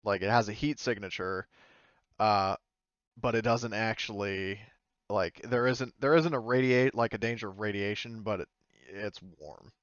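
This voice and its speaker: A man running a tabletop game as game master, narrating casually and haltingly as he searches for his words. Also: lowish voice, fairly bright tone, no room sound, lo-fi sound.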